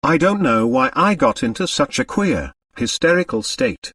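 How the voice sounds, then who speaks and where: A man's voice: fairly high pitch, very animated, normal speed, a bit bright, no room sound, clean studio sound, recorded from a distance, voice pushed up, exaggerated voice. A man voicing a distraught, wealthy young married woman in an audiobook, agitated and confessional. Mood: relieved, embarrassed.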